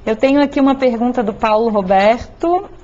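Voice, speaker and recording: A woman's voice: fairly high pitch, expressive, a little fast, dark tone. A woman moderating a seminar panel, informal, addressing guests as "tu". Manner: informal, uses "tu". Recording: dull lo-fi sound.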